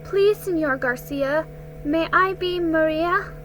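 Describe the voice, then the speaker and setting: A woman voicing an earnest, polite young girl with a small but steady voice in a storybook. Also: very high-pitched, takes it slowly, slight background noise, pitched above the performer's own voice, full character voice, low-quality recording.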